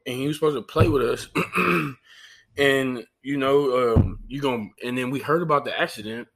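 A young man from New Orleans, speaking with a faint New Orleans Creole lilt in a relaxed podcast chat. He rambles loosely, circling back with frequent restarts and fillers. His voice sits at an ordinary pitch for a man. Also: normal speed, rough recording. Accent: light New Orleans Creole. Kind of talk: casual conversation.

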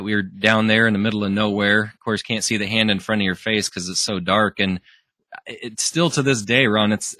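A man's voice: on the low side, bright, forceful tone, dry recording. A man hosting a podcast, talking casually and laughing easily, with many restarts. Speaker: podcast host. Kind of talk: casual conversation.

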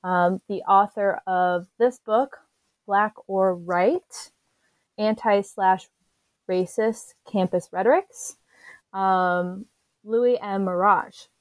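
A woman's voice: mid-range voice, takes it slowly, no room sound. A woman hosting an interview, reading a careful formal introduction of her guest with occasional fillers. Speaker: interview host.

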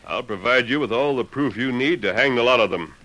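A man's voice: medium pitch, brisk, sharp tone, slight background noise, natural voice, low-quality recording. An actor playing a gallant, commanding masked lawman with an authoritative delivery in an old-time radio western. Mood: firm. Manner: authoritative, declarative. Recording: vintage radio broadcast recording.

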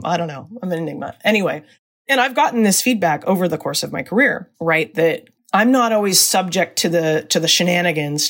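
A woman with a deep voice, a former diplomat speaking candidly and reflectively as a podcast guest. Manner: candid, reflective, animated storytelling. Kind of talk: podcast interview.

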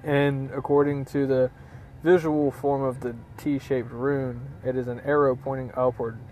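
Hoarse guy explaining stuff casually, lots of uhs and throat clearing, normal pitch. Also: little pitch movement, a little mellow, slight background noise, low-quality recording. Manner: halting, many fillers, frequent throat clearing. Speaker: teacher of runes. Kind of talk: casual spoken lesson.

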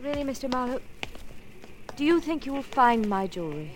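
Wealthy wife, female, radio drama of the forties: high voice, nervous, well-mannered, hesitant; noisy vintage sound. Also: big pitch swings, fairly quick. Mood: anxious, hesitant. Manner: hesitant, polite, earnest. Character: anxious, flustered well-to-do married woman client. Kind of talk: 1940s radio drama acting. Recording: noisy old radio recording.

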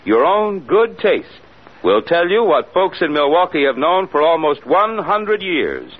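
A man's voice: a little high, sing-song, measured pace. A man announcing a vintage radio advertisement in an emphatic, polished salesman's manner. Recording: muffled old radio recording.

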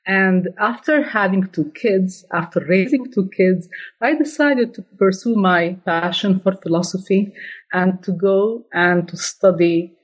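A woman's voice: fairly low pitch, darkish tone, husky, rough recording. A middle-aged woman being interviewed about her book, answering carefully with frequent restarts. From born in Slovenia, raised in Jordan. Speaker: guest author, ethics scholar.

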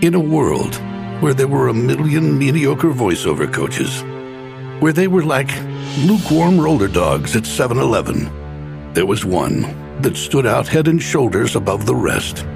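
A man's voice: medium pitch, big pitch swings, a little slow, creaky, music in the background. A man putting on a grandiose movie-trailer announcer, dramatic and pompous, in a parody ad.

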